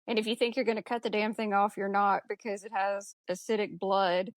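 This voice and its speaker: A woman's voice: medium pitch, flat delivery, brisk, no echo, clean studio sound. A woman co-hosting a podcast, discussing a film casually in a blunt, slangy, informal way. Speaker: podcast co-host.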